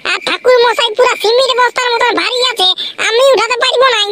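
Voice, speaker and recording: A man voicing a whiny, excitable village schoolboy in a comic cartoon, with a Purulia Bengali accent and a pitched-up voice. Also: quick pace. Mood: whining. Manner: complaining, rustic. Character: whiny, excitable village boy, lazy exam student. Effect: pitch-shifted up. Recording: very noisy track with ambient sound.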